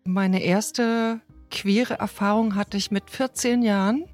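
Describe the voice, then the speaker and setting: A woman in her mid-fifties from Thuringia in Germany, thoughtfully recounting her personal story, with soft music underneath. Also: medium pitch, fairly even intonation, takes it slowly. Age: 50s. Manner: reflective and thoughtful. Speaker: interviewee.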